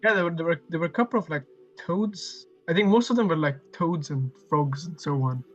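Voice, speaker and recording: A man's voice: a little high, faint music behind. Hesitant man on a dull video call. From lives in Australia. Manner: halting, many fillers and restarts. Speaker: co-host of an online workshop. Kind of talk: casual online session chat.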